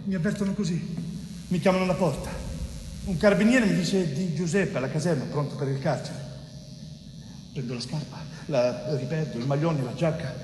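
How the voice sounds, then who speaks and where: A man's voice: fairly high pitch, normal speed, darkish tone, a little hiss or hum, close to an everyday voice. An actor playing an innocent, anguished young working man, telling his story in a plaintive, intimate theatrical monologue. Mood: anxious, urgent. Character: innocent young bricklayer, anguished victim of injustice. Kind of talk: theatrical first-person storytelling. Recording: echoey hall reverb, music behind.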